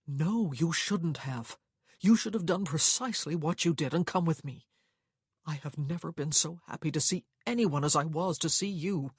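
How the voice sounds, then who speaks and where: A man's voice: a little high, moderate pace, husky, no room sound, clean studio sound. A man voicing a guarded, protective, plain-spoken young man in an audiobook, firm and direct in manner. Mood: reassuring. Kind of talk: audiobook character dialogue.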